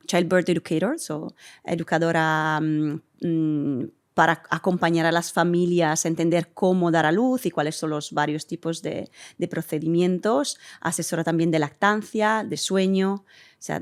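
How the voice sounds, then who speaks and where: Thoughtful Italian-raised woman, lowish voice. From Italy. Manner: thoughtful, searching for words with hums and restarts. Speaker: interview guest, community center director. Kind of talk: conversational interview answers.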